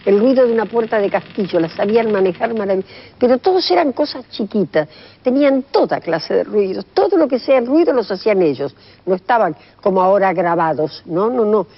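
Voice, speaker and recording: An older woman with an Argentine accent reminiscing in an interview, enthusiastic and repeating phrases for emphasis. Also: medium pitch. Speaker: radio veteran recalling her career. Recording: dull lo-fi sound.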